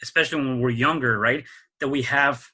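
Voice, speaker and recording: A man's voice: mid-range voice, brisk, sharp tone, husky. A man hosting a podcast interview, upbeat, often repeating words as he starts a thought. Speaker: podcast host.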